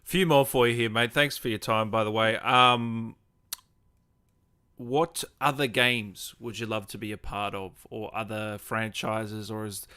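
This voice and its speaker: A man's voice: on the low side, fairly even intonation, unhurried, sharp tone, clear, resonant tone. A man hosting a casual livestream interview, asking questions in an informal, chatty way. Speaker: host interviewer.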